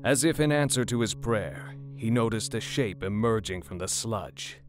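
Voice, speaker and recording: Deep voiced guy doing dramatic horror story narration, faint music behind him. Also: animated, moderate pace. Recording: music bed under the narration.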